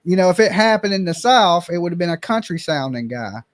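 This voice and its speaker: Blunt, opinionated high-voiced man. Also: big pitch swings, brisk, no room sound. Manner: blunt, opinionated, swears casually. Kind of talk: casual chat.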